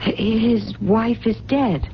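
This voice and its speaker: A woman's voice: a little high, fairly even intonation, takes it slowly. An eager woman, muffled radio sound. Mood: probing, surprised. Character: lovestruck, stubborn, eager woman. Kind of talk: 1970s radio drama acting. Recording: muffled, noisy 1970s radio recording.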